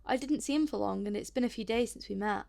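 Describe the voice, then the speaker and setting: A woman plays a polite but sceptical female visitor in an audio drama. Her voice sits fairly high, and she talks in a hesitant, rambling, slightly awkward way. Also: fast talker, vocal fry, darkish tone. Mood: hesitant, chatty. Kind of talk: audio drama acting, naturalistic.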